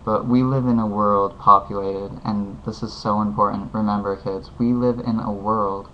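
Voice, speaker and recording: Guy, lowish and flat, rambling earnest advice vlog; muffled home-mic sound. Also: normal speed. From United States. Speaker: vlogger giving advice. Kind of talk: casual heartfelt advice monologue. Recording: dull lo-fi home video with faint hiss.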